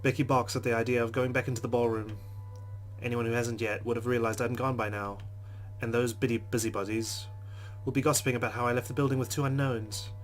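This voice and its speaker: A man hosts a let's play and reads a visual novel's narration aloud. His delivery is plain and measured. His voice sits in a mid range. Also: mid-range voice, level tone, faint music behind.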